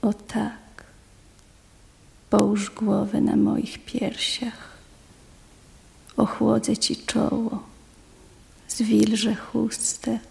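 An actress playing a watchful, soothing wife in a poetic radio play, measured and solemn. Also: female voice, a little high, level tone, vocal fry, a little mellow, exaggerated voice. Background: music.

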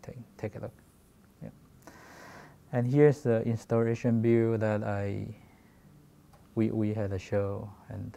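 A man in his forties from Taiwan with a Taiwanese accent, giving a halting talk about his work with many fillers and restarts. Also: on the low side, creaky, dark tone. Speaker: guest photographer. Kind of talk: presentation talk.

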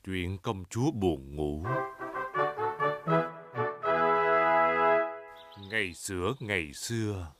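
A man voicing a big, jolly daddy pig telling stories in a Vietnamese cartoon dub, playful and animated. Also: deep voice, measured pace, loud music behind the voice. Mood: storytelling.

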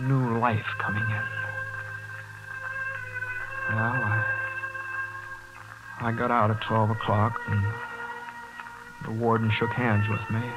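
An actor playing a hot-headed but good-hearted young working man in a 1940s radio drama, halting and stammering as he talks. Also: on the low side, lo-fi sound. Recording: 1940s radio broadcast with loud music.

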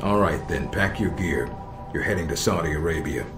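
An actor playing a calm, sardonic middle-aged spy handler in a video game, measured and dryly blunt. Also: male voice, deep voice. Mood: dry, serious. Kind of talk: video game cutscene acting. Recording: roomy echo with music behind.

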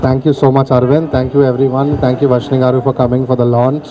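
A man's voice: medium pitch, flat delivery, takes it slowly, highs cut off, a crowd in the background. A man in his thirties with an Indian accent, giving a polite, hesitant thank-you speech as a company director. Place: open atrium of a shopping mall, on stage. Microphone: handheld microphone.

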